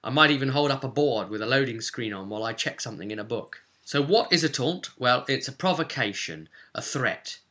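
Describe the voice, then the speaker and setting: A man's voice: medium pitch, pushed and bright. A man giving an informal, conversational tutorial in a chatty manner, as if explaining game rules to a newcomer. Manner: chatty, checks in with "okay?" and "right?". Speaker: presenter of a tabletop roleplay primer.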